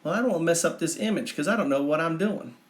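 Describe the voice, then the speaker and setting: A brash redneck livestreamer acted out by a man rambles to his chat in a put-on American Southern accent. He sounds folksy, crude and boastful, with a slightly high male voice in an echoing room. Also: animated, quick pace, close to an everyday voice. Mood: folksy, chatty. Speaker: livestream host. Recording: roomy, echoing livestream audio.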